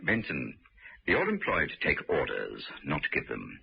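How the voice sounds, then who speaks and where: A suave scientist villain in a vintage radio drama, very low and creaky. He speaks with measured, cold authority and a highly varied intonation. The old recording is muffled. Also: wildly swooping pitch, full character voice. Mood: cold, commanding. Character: coldly authoritative wheelchair-bound male scientist villain, a doctor.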